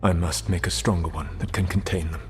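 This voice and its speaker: An actor playing a resolute, guilt-ridden ancient elven god in a video game, speaking gravely and formally. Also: male voice, deep voice, steady tone, a little fast, over music, lightly acted. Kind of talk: dramatic video game acting.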